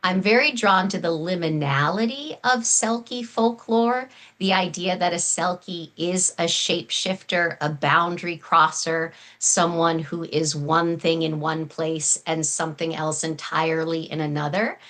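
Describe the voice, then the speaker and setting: A woman's voice: lowish voice, unhurried, few pauses, fairly bright tone. A woman speaking as a guest author, explaining earnestly in full, flowing, articulate sentences. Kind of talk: explanatory interview talk.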